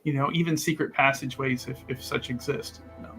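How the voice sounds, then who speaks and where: A man's voice: mid-range voice, fairly even intonation, brisk, a bit bright, soft background music, rough recording. A man playing a tabletop game who rambles, agrees readily and laughs often. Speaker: player. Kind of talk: casual tabletop chat.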